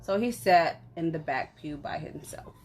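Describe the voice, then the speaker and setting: A woman reading a story aloud in an animated, conversational way, as if for children. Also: low voice, measured pace, over music. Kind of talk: expressive children's story narration.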